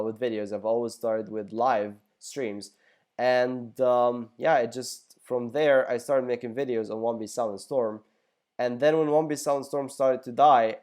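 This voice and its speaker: A man's voice: medium pitch, a little mellow. A young man whose first language is Arabic, answering viewer questions in a casual, rambling way with joking asides. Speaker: gaming YouTuber. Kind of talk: casual Q&A video.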